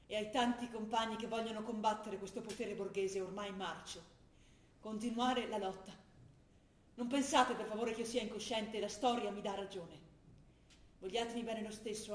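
A woman's voice: mid-range voice, roomy sound, natural voice. An actress playing an earnest, troubled young woman militant, confiding in a dialect-tinged way. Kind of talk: radio broadcast of a stage play.

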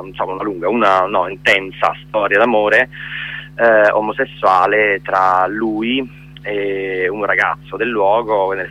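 A man, a stage actor and director, talking about his play in a casual phone interview, pausing often with fillers as he searches for words. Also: deep voice, pushed and bright, lo-fi sound. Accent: Italian.

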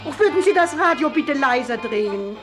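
A woman's voice: high voice, animated, moderate pace, music in the background. An actress playing a haughty, fault-finding older lady with an imperious, complaining manner in a 1950s German radio play. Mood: irritated.

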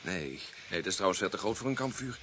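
An uneasy policeman on night watch in a radio play. His lowish voice moves a great deal as he swaps suspicions and chummy teasing, over clearly noisy background sound. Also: animated, fast talker, fairly bright tone. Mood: alarmed. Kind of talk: Dutch radio play acting.

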